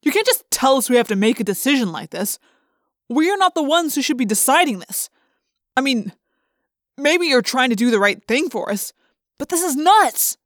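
A woman voicing a responsible teenage boy leader in an audiobook, direct, serious and forceful. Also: a little high, expressive, a little slow, creaky, no echo, clean studio sound, heavily acted. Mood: angry.

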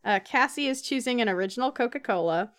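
A woman's voice: on the high side, lively intonation, fast talker. A woman co-hosting a podcast in casual conversation, recapping a comic with teasing remarks and read-out dialogue. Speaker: podcast host.

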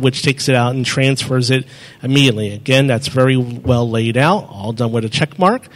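A man's voice: mid-range voice, a bit bright. A man presenting to an audience in a meeting room, explaining step by step on a handheld mic and often correcting himself as he goes. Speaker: presenter answering audience questions. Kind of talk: live demo talk at a meeting.

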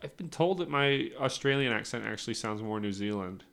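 A comedian being interviewed in a casual podcast chat, rambling and hedging with many restarts. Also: male voice, mid-range voice, animated, brisk, creaky, a bit bright. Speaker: comedian guest.

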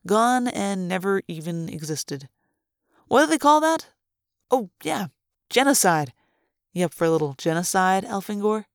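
A woman voicing a smug, sneering adult man who taunts in a slow drawl. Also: mid-range voice, big pitch swings, smooth, no echo, clean studio sound. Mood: taunting.

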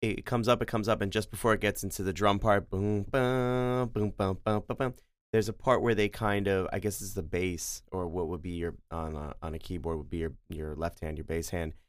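Smooth, deep-voiced man discussing music. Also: level tone, no echo, clean studio sound. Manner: halting, feels out descriptions, sounds out rhythms.

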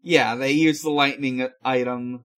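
A man hosting a podcast, giving a casual recap in a halting, unhurried way full of hesitations. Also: medium pitch, fairly even intonation, a bit bright. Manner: halting, filler-heavy, unhurried. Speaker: podcast host. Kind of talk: casual episode recap with frequent pauses.

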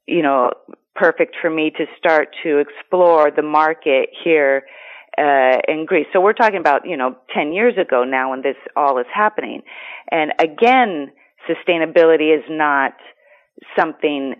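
A woman's voice: low-pitched, moderate pace. Greek woman on a tinny phone line. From United States. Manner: rambling, frequent fillers and restarts. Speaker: guest. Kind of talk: casual interview talk.

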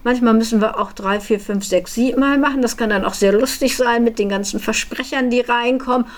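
A woman's voice: a little high, brisk. A woman who helps run a workshop, explaining how it works in interview style. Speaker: workshop organizer.

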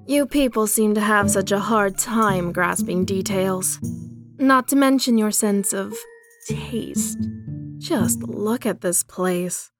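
A woman's voice: mid-range voice, slow pace, music in the background. A woman playing a brash, condescending female bounty hunter in an audio drama, confident, smug and mocking.

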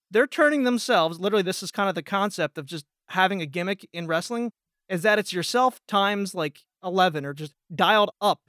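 High-voiced, rambling American man. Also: a bit bright, dry recording, studio quality. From Pennsylvania, United States. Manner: rambling, self-deprecating. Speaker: voice actor, guest. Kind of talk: casual chat.

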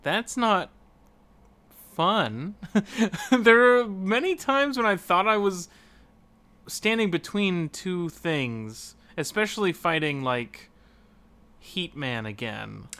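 Male podcast co-host, forceful bright voice a bit above average pitch, casual gaming talk. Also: expressive, takes it slowly. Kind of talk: casual video game discussion.

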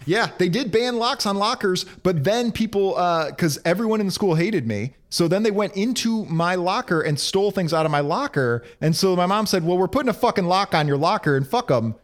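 A man's voice: sits high, expressive, brisk, few pauses. A man from Detroit with a Michigan accent, co-hosting a casual comedy podcast with dry, sarcastic humor. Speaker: podcast co-host.

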